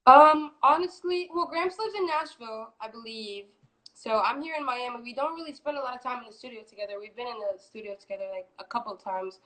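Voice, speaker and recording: A woman in her twenties from Florida, a singer answering interview questions in a relaxed, chatty way with many fillers. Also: a little high, fast, rough recording. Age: 20s. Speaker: guest, singer. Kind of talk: casual interview.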